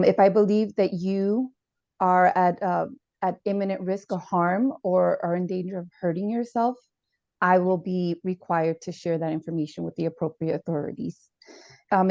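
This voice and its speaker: A woman playing a gravelly student therapist. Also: fairly low pitch, mellow, no echo, highs cut off. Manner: hesitant, with many fillers, formal. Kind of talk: role-played counseling session.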